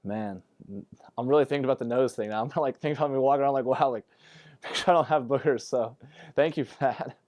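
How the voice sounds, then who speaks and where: Young man, average pitch, quick pace, casual playful interview chat. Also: darkish tone. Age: young adult. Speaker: college basketball player.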